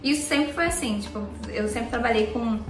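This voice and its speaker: A young Brazilian woman with a southern gaúcho accent, a guest talking in a relaxed, self-assured way. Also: a little high, normal speed, roomy sound, recorded from a distance, faint music behind. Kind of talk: casual interview chat. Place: indoor living room on a sofa. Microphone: handheld mic from a few feet.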